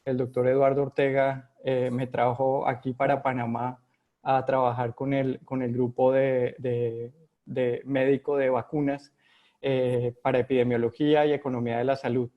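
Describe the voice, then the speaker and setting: A man's voice: mid-range voice, little pitch movement, moderate pace, phone-quality sound. A middle-aged man with a Colombian accent, answering interview questions from home with many hesitations and restarts. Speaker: guest epidemiologist.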